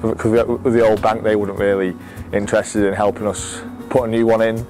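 An English farmer gives a casual, colloquial interview. His voice is low, and music plays clearly behind him. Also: flat delivery, a little fast, a little mellow. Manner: colloquial, tags phrases with "like". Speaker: dairy farmer. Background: background music.

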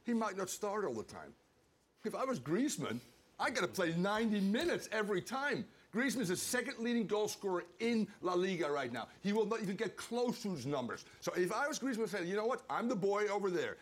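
Husky older man, swooping high pitch. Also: very animated, a little fast. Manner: insistent, repeats himself for emphasis. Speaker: football pundit. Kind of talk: heated panel debate. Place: television studio.

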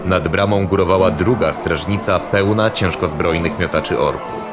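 A man with a low voice narrates a fantasy audio drama. He reads scripted storytelling prose, with very loud music running behind him. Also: moderate pace.

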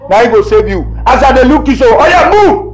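A man acting as an angry husband with a Nigerian accent, barking orders at his wife in a comedy skit. His voice sits high for a man, with faint music underneath. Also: animated, normal speed, low-quality recording. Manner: scolding, shouting orders.

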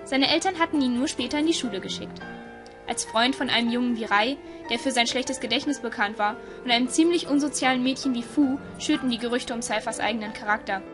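A woman's voice: fairly high pitch, quick pace. A woman narrator reading a scripted audio story. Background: music.

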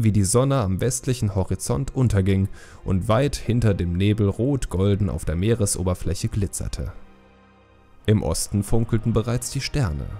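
A man narrates a fantasy audiobook in a lowish, gravelly voice with a dark, mellow tone. His delivery is scripted storytelling, set over background music.

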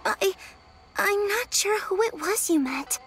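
A woman's voice: very high voice, expressive, slow pace, over music, full character voice. A woman voicing a timid, sweet little yokai girl in a video game, hesitant, shy and apologetic.

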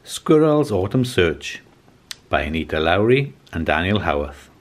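A man in his forties reading a children's storybook aloud as scripted narration, in a measured, expressive way. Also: low voice. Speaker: children's storybook reader and host.

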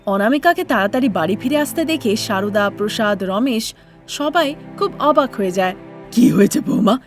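A woman's voice: a little high, lively intonation, normal speed, soft background music. A woman narrating a scripted cartoon fairy tale as storyteller.

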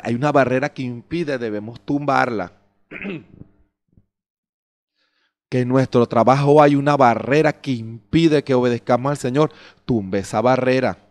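A middle-aged man preaches slowly and deliberately into a handheld microphone on a church stage. He keeps turning to the congregation with rhetorical questions and briefly acts out the excuses people give. His voice sits in an ordinary middle pitch. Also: darkish tone. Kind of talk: sermon. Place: church stage before a curtain. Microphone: handheld mic.

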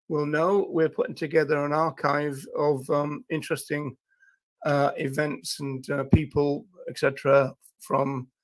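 An older man hosting a casual livestream, talking to viewers in a hesitant, halting way with drawn-out pauses. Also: on the high side, poor audio. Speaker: livestream host. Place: small indoor room at a desk.

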